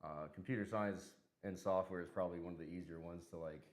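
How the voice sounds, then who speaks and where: A man's voice: low voice, fairly even intonation, quick pace. A man with a light Southern US accent, a podcast guest chatting casually in a laid-back way, laughing often. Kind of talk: casual chat. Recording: echoey room.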